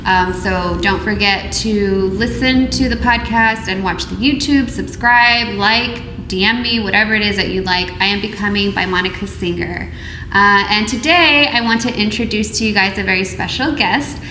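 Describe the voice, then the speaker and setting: A woman's voice: medium pitch, moderate pace, pushed and bright, a little hiss or hum. Chatty woman podcast host, echoey room. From United States. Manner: chatty, many ums and restarts. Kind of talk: casual interview chat.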